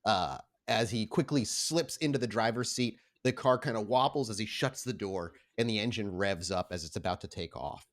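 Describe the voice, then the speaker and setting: A man's voice: fairly low pitch, moderate pace, few pauses. A man narrating and refereeing a tabletop roleplaying game as game master, mixing description with casual exclamatory asides.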